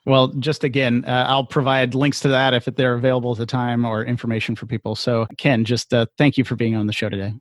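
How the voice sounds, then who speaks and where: A man's voice: medium pitch, level tone, fairly quick, few pauses, no echo, studio quality. A man hosting a podcast, talking casually in an affable, agreeable way. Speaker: podcast host. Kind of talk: casual interview chat.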